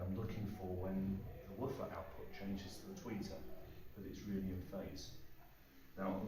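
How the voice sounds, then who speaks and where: A man with a low voice gives an informal technical talk, working through his points methodically. The room is very echoey and he is picked up from a distance, with faint noise behind him. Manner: methodical, explanatory. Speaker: speaker designer giving a talk. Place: hotel conference suite.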